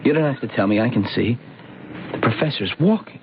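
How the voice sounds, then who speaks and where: A man's voice: medium pitch, expressive, fairly quick, lightly acted. An actor playing a jealous, lovesick young man, brittle and guarded, in a radio drama. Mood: stunned. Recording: muffled, noisy old radio broadcast.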